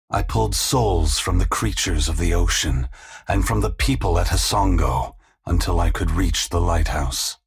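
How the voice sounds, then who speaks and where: An actor playing a weary, compassionate god in a video game, speaking in a grave, measured, formal way with a gravelly, husky voice. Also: male voice, low voice, exaggerated voice. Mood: solemn, resolute. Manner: slow, measured, philosophical, formal. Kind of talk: video game voice acting, dramatic dialogue.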